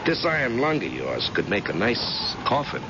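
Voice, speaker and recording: An actor playing a tough, persistent private investigator in an old-time radio drama, blunt and pressing. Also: male voice, fairly low pitch, huge pitch swings, moderate pace. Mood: pressing, menacing. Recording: muffled, noisy vintage radio recording.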